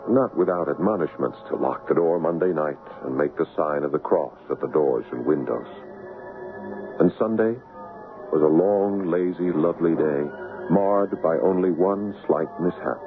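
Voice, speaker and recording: Anxious American husband, low voice, measured radio-play storyteller, solicitous, tinny noisy old broadcast. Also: male voice, faint music behind. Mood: somber recounting. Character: anxious American husband, a writer recounting a dreadful memory. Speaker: actor narrating and playing the husband. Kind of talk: 1970s radio drama acting, first-person narration.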